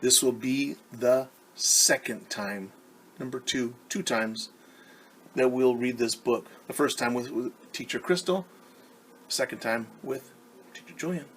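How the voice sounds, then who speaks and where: A man reading a picture book aloud to young children as their teacher, patiently asking them questions and pausing for answers. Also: medium pitch, a little hiss or hum. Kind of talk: children's storytime read-aloud.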